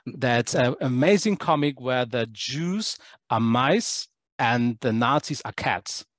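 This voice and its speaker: A man's voice: mid-range voice, expressive, slow, a bit bright, no room sound. A man from Poland, talking casually as a podcast guest, hesitant with many fillers and restarts. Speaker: podcast guest, tour guide.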